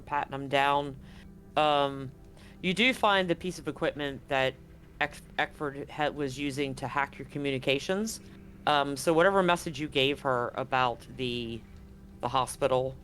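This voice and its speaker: A man's voice: mid-range voice, fairly bright tone. A man narrating and refereeing a tabletop roleplaying game as game master, in a conversational, improvised way. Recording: live stream with music underneath. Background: music.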